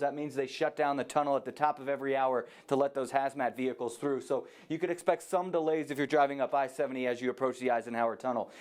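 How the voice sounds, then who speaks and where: Rapid American male traffic reporter. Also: medium pitch, darkish tone. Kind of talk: conversational live traffic report.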